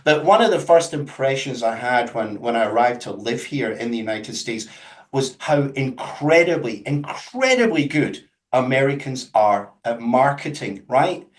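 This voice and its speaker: A man with a Scottish accent explains technology on a webinar video call, and his talk is animated and emphatic, with jokey asides. His pitch sits in the middle of the range. The sound comes from a room that gives off a clear echo. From Scotland. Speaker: webinar guest speaker. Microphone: webcam with mic on a video call.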